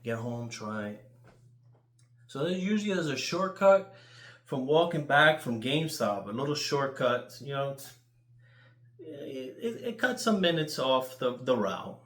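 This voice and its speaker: A man with a New York accent telling casual, rambling stories in an animated, crude way, swearing freely. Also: medium pitch, unhurried, reverberant. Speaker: YouTuber.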